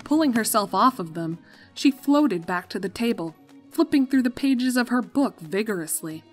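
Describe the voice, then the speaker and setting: A woman's voice: fairly high pitch, lively intonation, normal speed, faint music behind. A woman narrator reading a scripted story.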